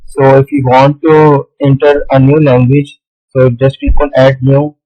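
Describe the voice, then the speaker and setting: A man whose first language is Urdu, walking through a screen tutorial in a halting way, often pausing on "okay, so". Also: mid-range voice, flat delivery, lo-fi sound. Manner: halting, with many "okay, so" fillers. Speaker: tutorial host. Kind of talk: screen-recorded tutorial walkthrough.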